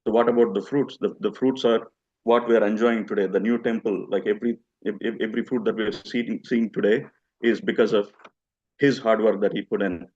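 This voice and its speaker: A man gives an unscripted memorial tribute, haltingly searching for words and repeating himself, on a lo-fi recording. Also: fairly low pitch, steady tone, a little mellow, breathy edge. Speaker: devotee giving a memorial tribute. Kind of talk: unscripted spoken eulogy. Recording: dull lo-fi speech recording.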